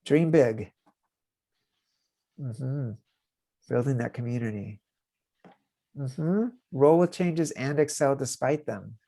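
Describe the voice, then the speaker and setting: A man from the United States leads a webinar on a video call. His voice is mellow and warm at a middle pitch. He talks in halting bursts, often repeating words and restarting his sentences. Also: gravelly. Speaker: webinar facilitator. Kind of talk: live webinar presentation.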